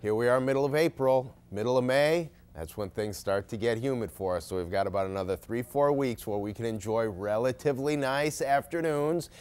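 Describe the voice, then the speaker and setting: A man's voice: mid-range voice, a little fast, hardly any pauses, darkish tone, smooth. A male television weather forecaster with an ordinary mid-range voice. He ad-libs his way through the forecast conversationally, explaining things as he goes. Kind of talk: ad-libbed weather forecast presentation.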